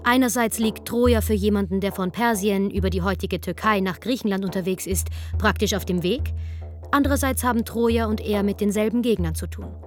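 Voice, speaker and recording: A woman narrating a comic radio play as a wry, matter-of-fact storyteller with a deadpan manner. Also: mid-range voice, brisk, natural voice. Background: music.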